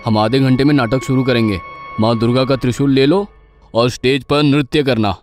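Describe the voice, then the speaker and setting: A man's voice: mid-range voice, steady tone, moderate pace, over music, natural voice. A man playing a bossy but cautious stage director in a story, giving instructions in an everyday voice. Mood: instructing.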